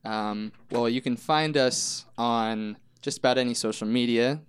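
A man's voice: medium pitch, a little slow, smooth. A man from a band chatting casually as an interview guest. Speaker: band member being interviewed. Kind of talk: casual chat.

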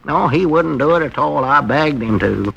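An actor playing an earnest, fretful country storekeeper with a rural Southern American accent, folksy and plainspoken, in a 1940s radio comedy. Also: male voice, fairly high pitch, expressive, moderate pace, vocal fry, fairly bright tone, highs cut off. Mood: concerned, explaining. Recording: noisy old 1940s radio recording.

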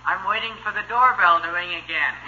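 A man's voice: high-pitched, fast talker, pushed and bright, dull audio, exaggerated voice. An American man playing a grumbling, smart-aleck adolescent son in a 1950s radio sitcom, slangy and put-upon. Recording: band-limited old radio recording.

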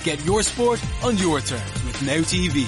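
A man delivers a scripted advertising read. His voice is rather high and very lively in pitch, upbeat in style, over prominent music. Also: a little slow.

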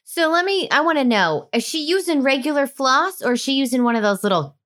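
A woman's voice: high-pitched, lively intonation, a little fast, fairly bright tone. A woman co-hosting a podcast in casual chat, making dry teasing remarks. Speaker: podcast co-host.

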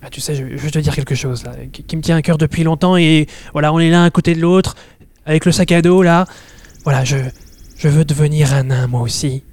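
A man playing a whiny, touchy elf in an improvised fantasy sketch, halting and repeating his words. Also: fairly high pitch, darkish tone, dry recording, lightly acted. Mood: confiding. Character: whiny, touchy elf adventurer longing to become a dwarf.

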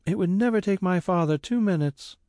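A man's voice: high-pitched, brisk, darkish tone, no echo, pitched above the performer's own voice, close to an everyday voice. Eager, confident young woman; male narrator voices her, dry close sound. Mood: assured. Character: confident young lady, daughter of the house.

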